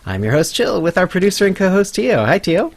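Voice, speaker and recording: A man's voice: high-pitched, very animated, fairly quick, creaky, no echo. A man hosting a podcast who opens the show and guides it in an upbeat way. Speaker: podcast host.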